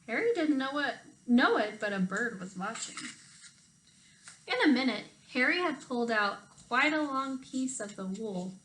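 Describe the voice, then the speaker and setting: A woman reading a picture book aloud to children in an animated, expressive storytime style. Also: a little high, poor audio. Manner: expressive, emphasizes phrases for children. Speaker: storytime reader for children, teacher.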